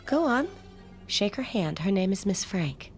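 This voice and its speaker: Worried, protective mother type: soft, hesitant, very varied intonation, radio drama over music. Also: medium pitch, very animated, unhurried. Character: gentle, anxious motherly woman, protective and hesitant. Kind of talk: vintage-style radio drama acting.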